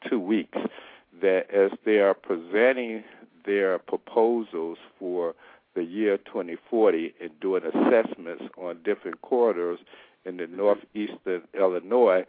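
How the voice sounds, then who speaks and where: A man co-hosting a call-in radio show as interviewer, asking rambling, halting questions full of fillers. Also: lowish voice, dry recording. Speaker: radio co-host and newspaper reporter. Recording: dry, tinny phone line.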